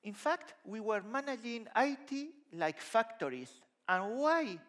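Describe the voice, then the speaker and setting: A middle-aged man from Spain with a Spanish accent, giving a lively conference talk on a large stage with a headset mic. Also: sits high, unhurried. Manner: lively, conversational, gesturing while walking the stage. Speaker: conference speaker.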